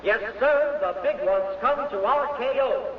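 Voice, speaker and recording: Movie promo guy, really high voice, big echo, far off and muffled. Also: measured pace. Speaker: film advertisement announcer. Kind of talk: vintage promotional announcement. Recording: distant, echoing, dull, hissy vintage recording.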